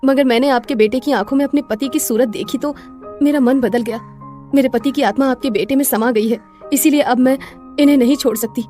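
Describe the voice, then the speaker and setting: A woman's voice: high-pitched, a little fast, warm tone, soft background music, close to an everyday voice. A woman voicing a young shape-shifting serpent wife who speaks respectfully and pleadingly in a moral story. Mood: sorrowful.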